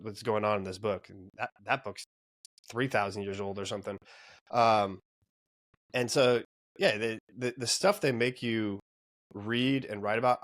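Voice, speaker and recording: A man's voice: on the low side, dry recording, studio quality. A man hosting a podcast in casual conversation, informal and opinionated. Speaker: podcast host. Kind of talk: casual chat.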